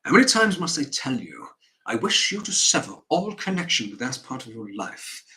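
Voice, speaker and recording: A man's voice: medium pitch, very animated, normal speed, sharp tone, heavily acted. A man rehearsing stage dialogue as a stern, dismissive upper-class father, pompous and brusque.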